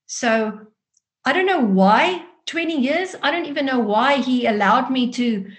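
Female interview guest, 40s, Afrikaans-speaking South African accent, slightly high voice, expressive personal storytelling. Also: unhurried. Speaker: guest author.

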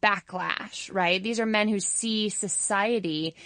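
A woman's voice: mid-range voice, slow pace, a bit bright, no echo. A woman co-hosting a podcast, leading the conversation with playful, teasing questions. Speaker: podcast co-host. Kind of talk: casual chat.

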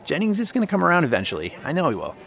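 A man's voice: fairly high pitch, huge pitch swings, very fast, creaky, fairly bright tone, heavily acted. A man playing a supportive, easygoing teenage boy in an audio drama, speaking casually and reassuringly. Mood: sympathetic. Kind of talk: naturalistic audio drama acting.

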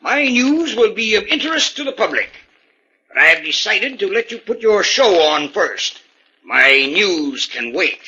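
An actor playing a stubborn, suspicious older professor in 1940s radio drama, with a brusque, commanding way of talking. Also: male voice, high voice, very animated, a little slow, pushed and bright, husky, full character voice. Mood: stern. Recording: dull 1940s radio recording.

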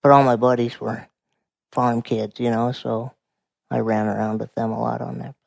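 A quiet-voiced man in his forties from Montana, speaking calmly and explaining things as a guest in a conversation. His voice has a gravelly edge. The sound is dry and close. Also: mid-range voice, moderate pace, no room sound. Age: 40s. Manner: calm, explanatory. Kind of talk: casual conversation.

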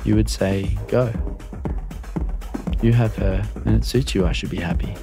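Male narrator for confessional short fiction: very low, creaky register, measured phrasing. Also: fairly even intonation, music in the background. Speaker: storyteller reading own short story. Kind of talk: literary first-person narration.

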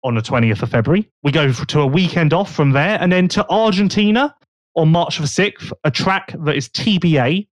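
A man's voice: fairly high pitch, lively intonation, fairly quick, no echo. A man hosting a casual podcast, chatting and joking while he thinks aloud. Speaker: podcast host.

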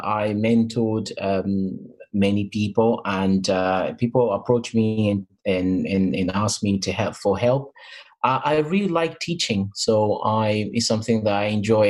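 低い声で言いよどむ男性. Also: male voice, fairly low pitch, darkish tone. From Portugal and Africa. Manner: hesitant, many fillers and restarts. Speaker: interview guest, mentor. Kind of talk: interview answers. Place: in England.

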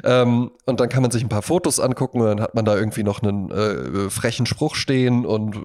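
A man's voice: fairly low pitch, a little fast, a little mellow. A man chatting casually as a podcast co-host, joking and acting out little quotes as he explains things. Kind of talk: casual conversational chat.

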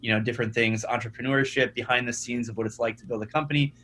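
A young man, a startup founder, talking casually as a podcast guest, energetic and often repeating his first words. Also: medium pitch, fast talker, a little hiss or hum. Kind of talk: conversational podcast interview.

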